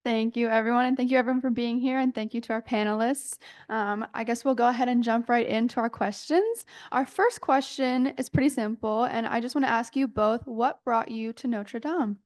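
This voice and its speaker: A woman's voice: fairly high pitch, fairly quick, a little mellow, no room sound, clean studio sound, voice pushed up, close to an everyday voice. A young adult woman, a student moderator, politely opening a panel and asking questions.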